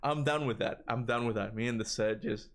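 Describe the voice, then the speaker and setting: A man with a Cuban accent chatting casually on a livestream, rambling and animated, answering chat and swearing now and then. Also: mid-range voice, fairly quick. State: tired voice from long talking. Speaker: gaming streamer answering chat.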